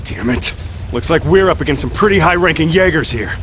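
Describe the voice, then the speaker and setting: A rough, wry soldier in a video game. His delivery is casual and expressive, on the higher side for a man, with faint ambience behind. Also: brisk, a little hiss or hum. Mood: alarmed. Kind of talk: dramatic video game acting.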